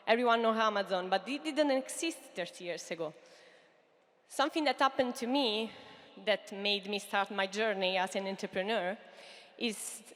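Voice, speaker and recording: Italian-accented woman pitching on stage. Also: moderate pace, fairly bright tone. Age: 30s. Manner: earnest, addresses the audience directly with frequent fillers. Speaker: startup founder giving a stage pitch. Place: large hall stage. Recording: long hall reverb.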